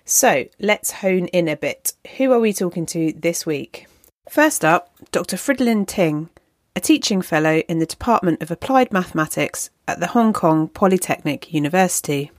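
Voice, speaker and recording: A woman hosting a podcast, reading a measured, prepared introduction. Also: lowish voice, lively intonation, no echo, studio quality. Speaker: podcast host.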